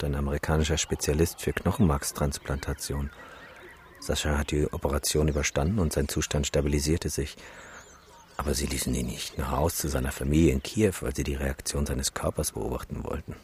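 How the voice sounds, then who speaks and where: Weary, sardonic male photographer for radio drama; extremely low register, skeptical edge, faint background noise. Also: steady tone, brisk, darkish tone. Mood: somber, reflective. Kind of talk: naturalistic radio drama acting.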